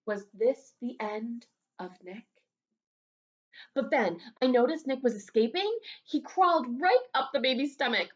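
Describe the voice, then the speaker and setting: A woman's voice: a little high, unhurried, lo-fi sound. A woman voicing an earnest young schoolboy telling his own story expressively, in a storybook read-aloud for children. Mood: excited. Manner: expressive, dramatic.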